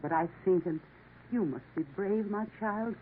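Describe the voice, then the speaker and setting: An actress playing a stern, solemn elderly Mother Superior in a 1950s radio drama, measured and formal. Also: medium pitch, natural voice. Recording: tinny old radio broadcast, faint hiss.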